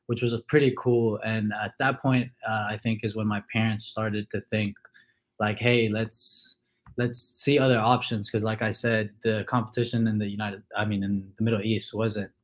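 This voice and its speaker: A young man from Kuwait, a college football player, answering interview questions in a relaxed way with many fillers. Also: fairly low pitch, normal speed. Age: young adult.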